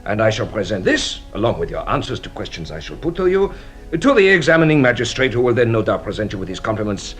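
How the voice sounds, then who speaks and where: An actor playing a playfully sly police inspector in a British radio drama, speaking in a courtly, teasing, deliberate way. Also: male voice, fairly low pitch, big pitch swings, a bit bright, soft background music.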